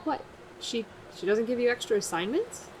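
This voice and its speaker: An actress playing an emotionally open, fragile member of a self-help group, hesitant and natural in an audio drama. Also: female voice, on the high side, animated, moderate pace, slight background noise, close to an everyday voice. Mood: puzzled. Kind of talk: naturalistic audio fiction acting.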